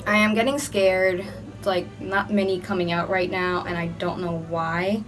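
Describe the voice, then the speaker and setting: A woman's voice: lowish voice, flat delivery, moderate pace, rough recording. A young woman doing a casual makeup tutorial, chatty and rambling with lots of "like", a little under the weather with a cold. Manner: chatty and rambling, heavy use of "like". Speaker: beauty vlogger. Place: indoor room under hot filming lights. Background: background music.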